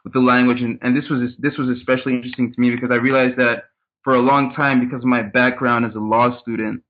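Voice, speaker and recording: A man from Cameroon talks through his background as an interview guest, measured and often repeating words while he thinks. His tone stays flat and even. He comes through a tinny, phone-like line. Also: mid-range voice. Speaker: guest, startup consultant. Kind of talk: casual interview.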